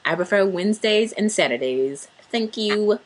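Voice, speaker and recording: A woman's voice: mid-range voice, animated, normal speed, a little hiss or hum. A woman co-hosting a podcast in playful casual chat, fond of breaking into song and silly voices. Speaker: podcast co-host.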